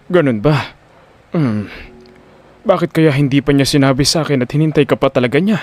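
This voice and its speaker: Lalaking gumaganap na matandang mayamang Don, pormal. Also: male voice, mid-range voice, brisk, a little mellow, lightly acted. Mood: calm, curious. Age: older. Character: wealthy elderly Don, formal and measured patriarch.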